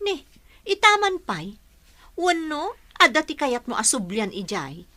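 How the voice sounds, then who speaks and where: Casting: gossipy village friend, bright high voice, Ilocano accent, radio drama teasing. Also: female voice, sing-song, unhurried, heavily acted. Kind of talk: Filipino radio drama acting.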